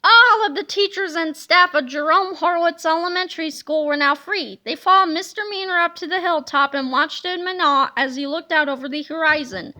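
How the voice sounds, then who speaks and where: Lively woman reading a storybook aloud. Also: very high voice. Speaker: read-aloud narrator. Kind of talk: reading a children's book aloud.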